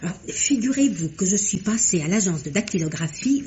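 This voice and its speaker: A woman's voice: medium pitch, lively intonation, brisk, warm tone, a little hiss or hum, natural voice. An actress playing a chatty, scheming woman who has inherited a couture house, in an old French radio drama, gossipy and conspiratorial with frequent giggles.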